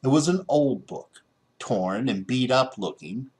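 Male American storybook narrator, middle-aged, expressive, widely varied intonation. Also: mid-range voice, measured pace. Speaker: storybook reader.

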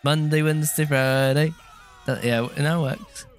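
A man's voice: a little high, steady tone, a little slow, a little hiss or hum. A man from Britain co-hosting a game stream, chatting casually, thanking donors and singing little jingles. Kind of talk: casual chat while gaming. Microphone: gaming headset.

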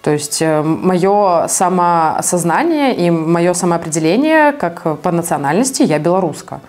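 A woman from Belarus answering interview questions as an activist, speaking conversationally and a bit haltingly as she thinks aloud. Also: on the low side, expressive, a little mellow.

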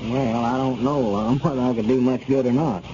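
An actor playing a sensible, friendly small-town neighbor, plain-spoken and incredulous in an old radio comedy serial. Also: male voice, mid-range voice, fairly even intonation, moderate pace, natural voice. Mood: concerned, reasonable. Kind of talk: 1930s-40s radio comedy serial acting. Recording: noisy, muffled old radio recording.

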